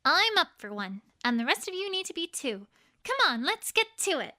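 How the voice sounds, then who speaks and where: A woman playing a bashful, bookish young pony student in an audio drama, speaking earnestly and haltingly. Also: high-pitched, sing-song, bright, forceful tone, heavily acted. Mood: brisk, rousing. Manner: halting, earnest speech with stammers. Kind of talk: audio drama fan fiction reading.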